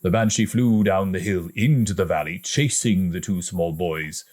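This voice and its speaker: A man reading a story aloud as an audiobook narrator, in a dramatic, expressive storytelling manner. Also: sits low, normal speed. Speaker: audiobook reader.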